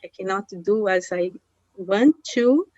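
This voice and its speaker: A woman from Brazil with a Brazilian accent, a native Portuguese speaker practising conversational English as a learner, halting and searching for words. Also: medium pitch, a little mellow, no echo, muffled recording.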